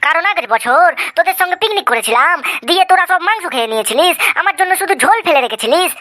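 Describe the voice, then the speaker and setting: A woman's voice: very high-pitched, animated, fast talker, sharp tone, exaggerated voice. A woman voicing a cheeky, boastful schoolkid who banters in a comedy cartoon. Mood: grumbling.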